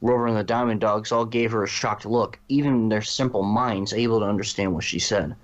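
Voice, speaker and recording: A man with a fairly low voice reads narration for a fan fiction audio story. His delivery is that of a storyteller, and the home recording is a little dull and muffled. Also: fairly even intonation, brisk.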